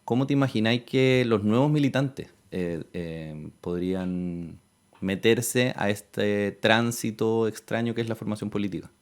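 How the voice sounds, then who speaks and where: A man's voice: fairly low pitch, measured pace. A man with a Chilean accent, hosting a casual, conversational interview.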